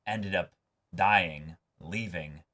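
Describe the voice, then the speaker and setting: A man with a fairly low voice co-hosts a casual reaction discussion. He explains his thoughts earnestly, circling back and repeating phrases as he goes. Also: drawn-out words, bright, forceful tone. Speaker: reaction show co-host.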